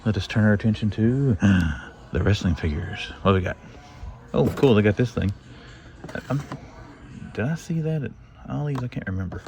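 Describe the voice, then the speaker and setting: A man's voice: fairly low pitch, animated, moderate pace, vocal fry, long pauses, warm tone. A middle-aged man with a Southern drawl, chatting to himself as he walks store aisles hunting for toys for his vlog. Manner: chatty, rambling, talks to himself while browsing shelves. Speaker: toy collector vlogger. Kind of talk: casual walk-through vlog.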